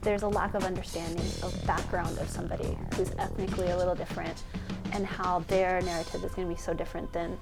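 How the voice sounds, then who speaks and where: A woman's voice: fairly low pitch, level tone, fast talker, creaky, over loud music. A woman speaking earnestly in a documentary interview, explaining things plainly.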